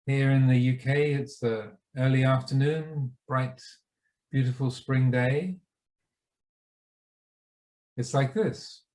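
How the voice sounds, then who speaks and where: A man leading a guided meditation as a teacher, speaking in short, unhurried phrases with pauses between them. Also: medium pitch, fairly even intonation, a little mellow.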